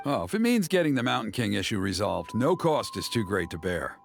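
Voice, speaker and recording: A man voicing a courteous, earnest village elder in a video game, speaking in a formal, measured, insistent manner. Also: fairly low pitch, very animated, creaky, soft background music. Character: earnest, courteous male village elder, anxious for his people. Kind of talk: video game character acting.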